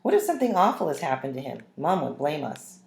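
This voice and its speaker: A woman's voice: low-pitched, very animated, fast talker, creaky, darkish tone, heavily acted. A woman voicing a worried, fussy little sister in a storybook, with a plaintive, pressing manner. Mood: fussy, indignant. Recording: roomy, echoing room sound.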